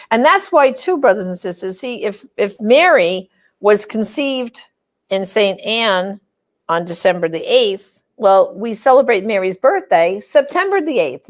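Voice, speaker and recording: A middle-aged woman hosting a religious program, speaking in a conversational, explanatory way and stressing key words as she gives a devotional talk. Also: medium pitch.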